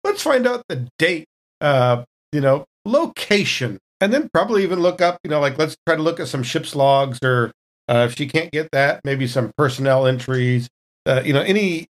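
A woman's voice: low-pitched, animated, moderate pace. A woman chatting casually as a player in a tabletop game, improvising what her character does. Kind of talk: casual improvised chat, describing a character's actions.

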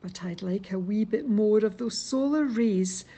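A woman's voice: medium pitch, a little slow, warm tone. A woman, reading a rhyming poem in a wry recital. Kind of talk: poetry reading.

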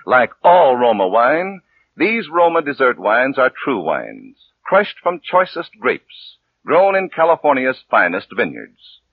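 A man reads a vintage radio commercial as the announcer. His medium-pitched voice is husky and swoops expressively through the polished sales copy. The old recording sounds thin and phone-like. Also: wildly swooping pitch, takes it slowly, dry recording. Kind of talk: polished 1940s radio advertising read. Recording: narrow, tinny 1940s radio recording.

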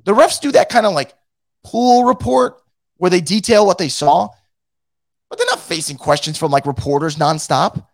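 A hoarse man who is losing his voice hosts a casual fan show and reads out the live chat, blunt and profane, with many restarts. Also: high-pitched, moderate pace, no echo, studio quality. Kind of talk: casual post-game rant.

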